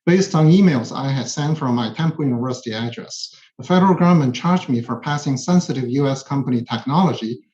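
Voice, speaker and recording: A man's voice: mid-range voice, brisk, few pauses, a little mellow. Chinese-accented man testifying, muffled echoey sound. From China. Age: middle-aged or older. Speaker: physics professor, witness. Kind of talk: personal prepared testimony.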